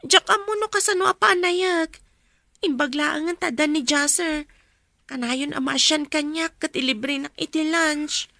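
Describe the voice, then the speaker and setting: High, bright young Filipino student daughter. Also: female voice, normal speed, vocal fry, dry recording, clean studio sound, heavily acted. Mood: plaintive. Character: young female student, dutiful daughter, plaintive but resolute. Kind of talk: Ilocano radio drama acting.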